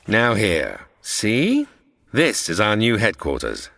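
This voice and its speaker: A man's voice: lowish voice, wildly swooping pitch, takes it slowly, gravelly, fairly bright tone, long reverb tail, slight background noise, full character voice. An actor playing a scheming male villain who gives orders smugly in a comedy audio drama. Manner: commanding, smug.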